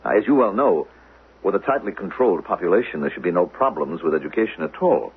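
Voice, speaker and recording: An actor playing an officious, probing teacher and suspected spy in a 1970s radio drama, formal and needling, with a husky voice. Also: male voice, sits low, animated, fast, slight background noise. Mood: insinuating, probing. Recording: narrow, phone-like old radio sound.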